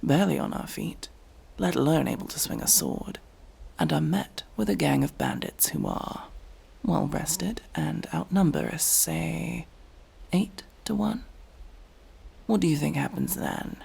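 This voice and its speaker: Man playing a gravelly, prickly elf mercenary. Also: on the high side, big pitch swings, a little mellow, heavily acted. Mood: stern, sardonic. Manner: curt, sardonic, exasperated, with sighs and pauses. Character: aloof, prickly tsundere elf mercenary, reluctant rescuer. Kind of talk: ASMR fantasy roleplay, acted monologue to listener.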